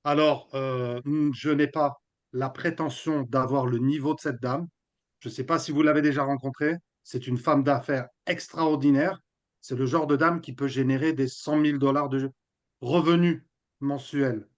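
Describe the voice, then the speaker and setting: A man's voice: mid-range voice, moderate pace. Chatty man on muffled call audio. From Nice, France. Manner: relaxed, chatty, frequent fillers. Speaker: team strategist presenting. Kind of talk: casual presentation. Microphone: video call. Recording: dull lo-fi video call audio.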